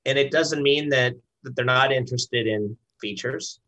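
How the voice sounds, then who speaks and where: A man's voice: medium pitch, moderate pace, bright, forceful tone, poor audio. A man speaking as a brand director and interview guest in a conversational business style, often repeating words as he explains.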